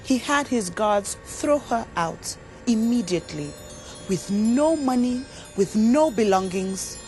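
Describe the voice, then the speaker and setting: A woman with a Nigerian accent narrating a scripted folktale in an expressive, dramatic storyteller's manner. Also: a little high, slow, low-quality recording. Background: music.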